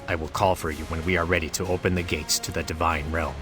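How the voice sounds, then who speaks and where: An actor playing a solemn mystic mentor in a fantasy video game, speaking in a measured, formal way. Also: male voice, low-pitched, flat delivery, close to an everyday voice. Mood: solemn, grave. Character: solemn male mystic mentor guiding a warrior. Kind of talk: scripted game dialogue. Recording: loud music behind. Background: game music.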